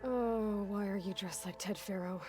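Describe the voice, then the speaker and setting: A woman's voice: mid-range voice, normal speed, over music, natural voice. Woman playing a blunt, wry game heroine. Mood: incredulous. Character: blunt, skeptical young heroine, stubborn and wry. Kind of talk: naturalistic video game cutscene acting.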